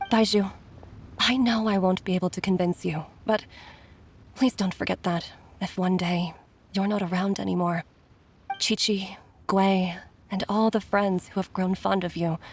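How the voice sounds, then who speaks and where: A woman's voice: mid-range voice, slight background noise, lightly acted. A woman voicing a caring middle-aged doctor and mother who speaks earnestly with frequent sighs. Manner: earnest, sighing, concerned.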